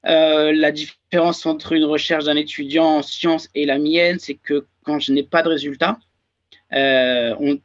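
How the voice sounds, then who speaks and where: A man in his thirties with a French accent, pitched a little high for a man. He answers interview questions casually, hesitating and restarting often. The recording sounds dull and lo-fi. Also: little pitch movement, a little slow. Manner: hesitant, many fillers and restarts. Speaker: guest, research and development engineer.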